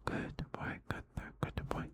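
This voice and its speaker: A man doing ASMR as a streamer, whispering to listeners in an affectionate, soothing, repetitive way. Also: unhurried.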